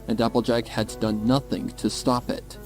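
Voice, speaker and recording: A man narrates a horror fan story in a mid-pitched voice. It is a scripted reading, with faint music behind him. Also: little pitch movement, moderate pace.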